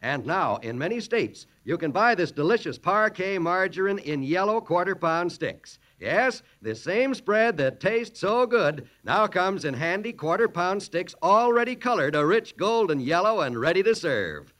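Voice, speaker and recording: A man's voice: high-pitched, big pitch swings, unhurried, hardly any pauses, a bit bright. A man announcing and reading ads in a polished, emphatic 1940s radio style.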